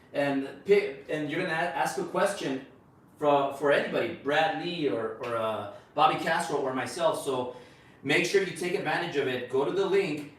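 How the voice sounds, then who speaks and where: Brief: man, Mexican accent, slightly high voice, blunt and boastful livestream host, echoey room, off-mic. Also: a bit bright, far from the mic. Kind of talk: casual livestream pitch. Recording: roomy echo, away from the mic.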